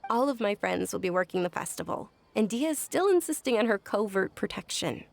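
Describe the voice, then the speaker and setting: A woman playing a gentle, gracious young noblewoman in an anime game, speaking politely and considerately. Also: medium pitch, lively intonation, a little fast, vocal fry. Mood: eager. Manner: polite, soft-spoken, considerate. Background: background music.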